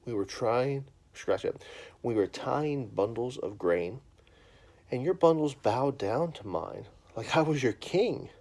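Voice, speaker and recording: A man's voice: mid-range voice, lively intonation, slow, a little mellow. A man voicing a boastful young man named Joseph while reading a bedtime storybook aloud. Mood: proud.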